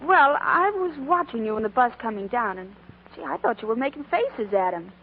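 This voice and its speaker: A woman's voice: on the high side, big pitch swings, moderate pace, slight background noise. An actress playing an earnest, plainspoken young working woman in love, with an American accent, in 1940s radio style. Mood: friendly, teasing. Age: young adult. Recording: muffled old radio broadcast with music.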